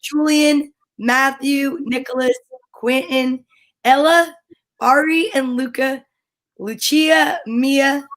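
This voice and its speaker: A woman's voice: high voice, fairly even intonation, takes it slowly, low-quality recording. A woman hosting a children's show, chatting casually with an informal, curious manner. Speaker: host of a children's story time show. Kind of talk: casual interview chat.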